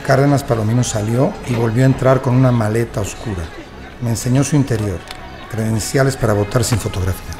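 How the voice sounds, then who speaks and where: A man's voice: medium pitch, a little fast, lightly acted. An actor in an audio drama playing a wronged male prisoner who recounts his ordeal as sober testimony. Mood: sober, aggrieved. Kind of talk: first-person audio drama narration. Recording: music bed behind the voice.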